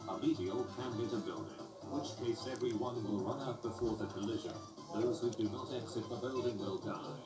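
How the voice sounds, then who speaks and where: A man reading memes and jokes aloud in a deadpan, mock-formal manner, with loud music playing behind him. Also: mid-range voice, echoey room, far from the mic, rough recording. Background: loud background music.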